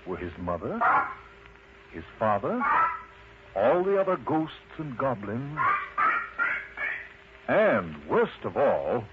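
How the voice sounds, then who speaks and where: A man narrating a children's story in an expressive, unhurried manner, addressing the listener directly. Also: medium pitch. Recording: muffled lo-fi recording with loud noise.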